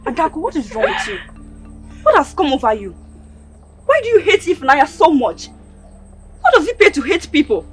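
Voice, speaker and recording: A woman's voice: very high voice, very animated, moderate pace, pauses often. An actress with a Nigerian accent playing a kind, protective young village woman, coaxing and pleading in a film melodrama. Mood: indignant. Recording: film dialogue with music behind.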